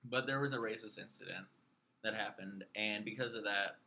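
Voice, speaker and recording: A man's voice: on the low side, normal speed, gravelly, sharp tone, slight background noise. A man in his twenties, a returning podcast guest, telling stories in a dry, matter-of-fact way. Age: 20s. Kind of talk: casual chat.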